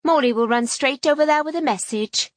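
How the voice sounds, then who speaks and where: A woman's voice: high-pitched, fairly quick, no room sound, clean studio sound, pitched above the performer's own voice, lightly acted. Lady doing an eager middle-aged innkeeper woman, fussing, yes my lady type. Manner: eager, bustling and servile.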